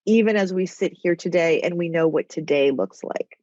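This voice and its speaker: A woman's voice: lowish voice, moderate pace, dark tone, no room sound, dull audio. A middle-aged woman, a TV writer and former executive, talking as a podcast guest in a nasal, rambling, self-interrupting way. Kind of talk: casual conversational interview.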